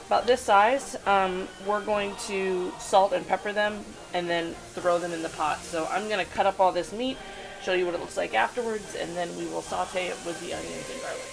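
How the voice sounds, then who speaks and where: Chatty woman with a gravelly voice. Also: lowish voice, fairly even intonation, normal speed, poor audio. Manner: chatty, instructive, with fillers. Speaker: home cook filming herself. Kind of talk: casual cooking demonstration. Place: home kitchen. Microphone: handheld camera. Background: food sizzling in a pan.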